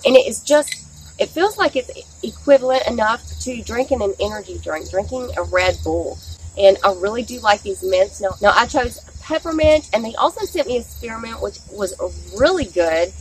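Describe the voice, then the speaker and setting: A woman's voice: on the high side, animated, normal speed, slight background noise, low-quality recording. Chatty woman outdoors. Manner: chatty, enthusiastic, says "y'all" and "guys" often. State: slightly scratchy from a sore throat. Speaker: beauty vlogger. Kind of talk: casual product review. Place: outdoors in a backyard. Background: crickets and insects, barking dogs.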